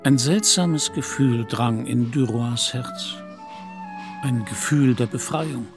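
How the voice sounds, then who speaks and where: Male radio play narrator, medium pitch. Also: slow, music in the background.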